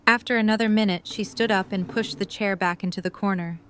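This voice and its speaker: Woman narrating, medium-pitched voice. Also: fairly even intonation, a little fast, a bit bright, slight background noise. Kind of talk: scripted story narration.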